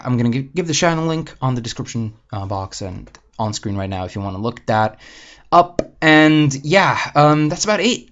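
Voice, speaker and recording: A man's voice: fairly low pitch, dull audio. A young man from Slovakia, casually ranting to viewers on his channel, swearing often and rambling candidly. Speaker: gaming channel host.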